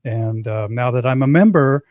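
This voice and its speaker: A middle-aged man hosting a casual talk, speaking earnestly with frequent pauses and restarts. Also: medium pitch, phone-quality sound. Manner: earnest, halting, many restarts. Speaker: host. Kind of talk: casual conversation.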